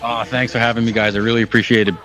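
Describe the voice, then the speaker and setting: A man's voice: medium pitch, little pitch movement, racing, a bit bright, faint music behind. A man, a podcast guest calling in from work, talking in a laid-back, offhand way. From Staten Island, New York. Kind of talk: casual chat. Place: at work, over Skype. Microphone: internet call.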